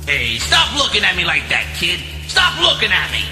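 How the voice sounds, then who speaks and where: An actor playing a threatening, jumpy street thug with a gun in a forceful cartoon style. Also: male voice, sits high, wildly swooping pitch, moderate pace. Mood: rattled. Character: menacing male street thug, jumpy gunman. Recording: long echo, music behind.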